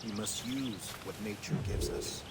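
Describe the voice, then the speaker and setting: Low, solemn male hunting mentor acted. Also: very noisy, natural voice. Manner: solemn, measured, instructive. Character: calm, wise male wilderness hunting mentor. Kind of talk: video game dialogue acting.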